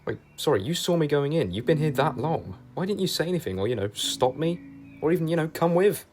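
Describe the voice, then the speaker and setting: A man's voice: on the high side, lively intonation, fairly quick, darkish tone. A man playing a brave, down-to-earth young companion to a space-time traveller, speaking in a wry, colloquial way in an audio drama. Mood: indignant.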